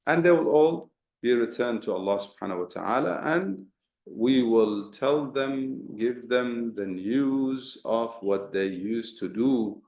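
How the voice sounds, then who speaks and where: Male Quran teacher, mid-pitched, earnest explainer, lots of rhetorical questions, slight fry, roomy echo. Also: takes it slowly, darkish tone. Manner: mixes Arabic terms into talk. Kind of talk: explanatory religious lesson. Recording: echoey room.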